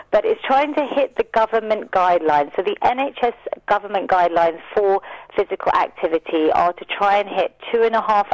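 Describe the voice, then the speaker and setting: A woman's voice: on the low side, dry recording, highs cut off. A British woman giving informative, earnest answers as a guest in a radio interview.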